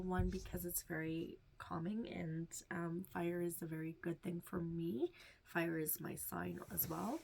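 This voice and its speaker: A woman with a stuffed-up, nasal voice gently teaching meditation to beginners, reassuring and sometimes dropping to a whisper. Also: on the low side, creaky, a little hiss or hum, rough recording. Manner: soft, reassuring, drifts into whispers. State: stuffed-up nose. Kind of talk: casual instructional talk.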